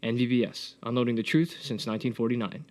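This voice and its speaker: Man playing fast radio announcer. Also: mid-range voice, steady tone, a little mellow, close to an everyday voice. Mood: brisk, promotional. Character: brisk male radio sponsor announcer. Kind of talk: scripted promo read.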